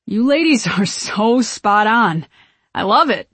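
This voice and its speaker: A woman voicing a tipsy, excitable young man out partying in an audiobook, gushing playfully in exclamations. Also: mid-range voice, a little slow, no room sound. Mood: tipsy, delighted.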